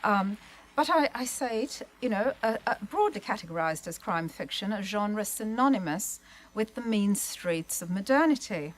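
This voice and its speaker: A woman speaking as a panellist, giving a prepared literary talk in an articulate, formal way with measured phrasing. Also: mid-range voice, lively intonation, rough recording. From migrated to Australia, lived in Melbourne.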